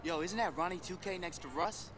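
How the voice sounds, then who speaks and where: A man acting cocky young streetball hopeful, higher-pitched. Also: a little fast, crowd noise, natural voice. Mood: easygoing. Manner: casual, self-assured. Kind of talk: naturalistic video game cutscene acting.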